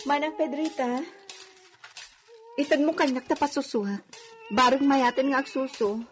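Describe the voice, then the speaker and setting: A woman's voice: high-pitched, moderate pace, slight background noise, lightly acted. A woman with an Ilocano accent playing a protective, plaintive young mother in a radio drama. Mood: soothing. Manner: pleading, plaintive. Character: tearful, protective young mother, the master's beloved.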